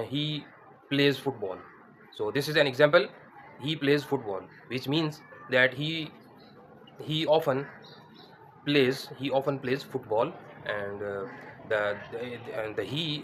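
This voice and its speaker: Teacher in his thirties, mid-pitched, hesitant lesson delivery, close mic indoors. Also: a bit bright, a little hiss or hum, poor audio. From Pakistan. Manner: hesitant, many fillers and restarts. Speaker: English teacher. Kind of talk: spoken grammar lesson. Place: indoors against a plain wall.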